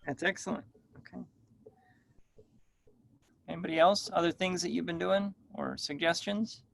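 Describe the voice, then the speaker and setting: A man's voice: high-pitched, creaky, fairly bright tone. Thoughtful man moderating a video call. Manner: measured, thoughtful, asks open questions. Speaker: discussion moderator. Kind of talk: professional panel discussion on video call.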